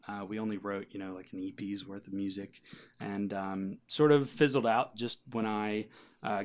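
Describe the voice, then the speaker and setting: A young American man talking casually as a band guest, feeling his way through his sentences in a halting, reflective manner. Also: lowish voice, a little mellow. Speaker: band singer and guitarist, guest. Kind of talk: casual interview chat.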